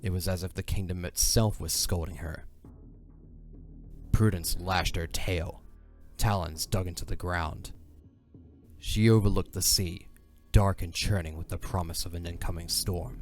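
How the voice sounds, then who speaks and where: A man's voice: deep voice, fairly even intonation, unhurried, a little mellow, faint music behind. A man narrating a scripted fantasy story in a dramatic audio drama style.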